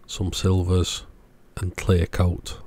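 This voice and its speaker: A man's voice: low voice, completely flat delivery, slow, a little mellow. A middle-aged man narrating casual workbench repairs as a channel host, explaining step by step in short, plain phrases.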